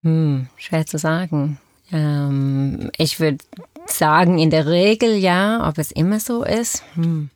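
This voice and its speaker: Low-voiced Australian woman teacher, speaking German slowly, dry close sound. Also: steady tone, darkish tone, no room sound. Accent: Australian English. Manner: hesitant, many fillers, non-native grammar. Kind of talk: casual talk show chat.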